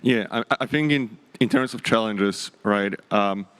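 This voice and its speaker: A man speaking as a panelist in a conference discussion, measured and conversational, often adding "right?". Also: on the low side, a bit bright, smooth. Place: conference stage. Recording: hall reverb, event stage.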